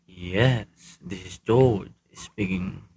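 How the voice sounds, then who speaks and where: A man's voice: fairly low pitch, very slow, darkish tone, a little hiss or hum. A man playing an unbothered, businesslike hired killer in a radio play, speaking in a matter-of-fact, reassuring way. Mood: casual.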